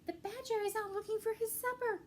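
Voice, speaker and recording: A woman's voice: very high-pitched, fairly even intonation, fairly quick, slight background noise, voice pushed up. A woman voicing a tiny male moth in a children's story, speaking in emphatic warnings.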